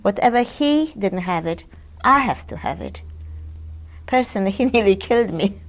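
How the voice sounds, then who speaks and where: A Polish-born woman in her sixties giving oral history testimony in an interview, often pausing and searching for words. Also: medium pitch, lively intonation. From Poland. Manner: hesitant, searching for words with many ums and restarts. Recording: 1980s audio cassette interview.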